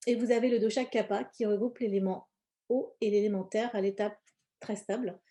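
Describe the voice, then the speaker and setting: A woman presents in an informal, explanatory way. Her voice is soft-edged and mellow at a medium pitch. She often hesitates and pauses partway through her phrases. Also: steady tone. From born in India, grew up in France. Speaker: presenter, cosmetics brand founder.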